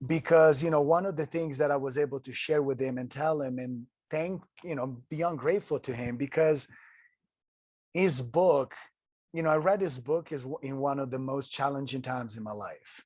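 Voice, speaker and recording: A man from Italy with an Italian accent, telling his story as a podcast guest in an earnest, halting way full of restarts. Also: mid-range voice, a little mellow, dry recording. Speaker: guest, ultrarunner. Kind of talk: casual interview storytelling.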